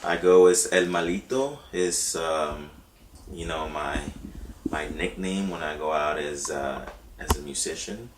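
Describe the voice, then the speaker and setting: A man's voice: rumbling low, measured pace, reverberant, distant mic, background noise. A man who is a musician and filmmaker talking casually about his work, rambling with fillers. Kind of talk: casual interview talk.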